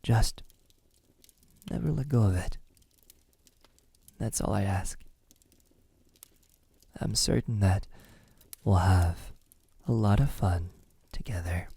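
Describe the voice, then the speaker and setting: A man playing a possessive, teasing vampire in an intimate ASMR roleplay, speaking softly and breathily with frequent drops into a whisper. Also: on the low side, no room sound, heavily acted. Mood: coaxing, tender. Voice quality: breathy, often dropping to a whisper. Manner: slow, menacingly tender, teasing. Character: possessive, teasing, lonely male vampire in his castle.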